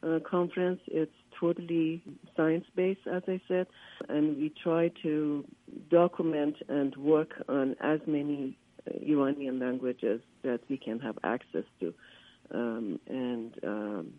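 A man whose first language is Persian, a linguist giving a radio interview by phone, often pausing to search for words. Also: fairly high pitch, fairly even intonation, no echo. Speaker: linguist and conference organizer, interview guest. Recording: tinny, dry phone line.

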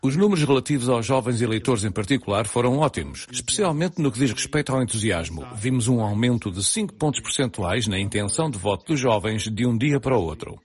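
A man giving a quick dubbed voice-over in a news report. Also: medium pitch, lively intonation, darkish tone.

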